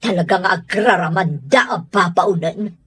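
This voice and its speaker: A woman's voice: fairly low pitch, normal speed, fairly bright tone, husky. A Filipino woman playing a stern middle-aged aunt in a radio drama, pressing and disdainful in her delivery. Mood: insistent, disapproving. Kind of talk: Ilocano radio drama acting.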